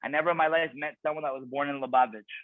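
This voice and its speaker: A man's voice: on the high side, steady tone, rapid-fire, no echo. A man, a rabbi, giving an earnest talk over a video call and often repeating words as he goes. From Canada. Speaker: rabbi, guest speaker. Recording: tinny video-call audio.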